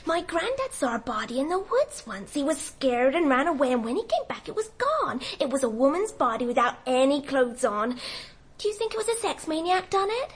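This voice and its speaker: Nosy english schoolgirl, radio play, blunt and ghoulish, really high swoopy voice. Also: big pitch swings, brisk. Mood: eager, morbid. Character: eager, ghoulish teenage Girl Guide, blunt and cheeky. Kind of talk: radio drama acting.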